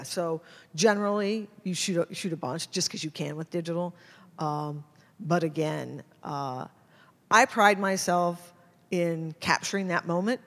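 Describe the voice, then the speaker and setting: A middle-aged American woman answering audience questions as a guest speaker, casual and halting, often restarting her sentences. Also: lowish voice. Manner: halting, self-interrupting, informal. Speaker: guest photojournalist. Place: indoor hall at a public talk. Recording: amplified in a reverberant hall.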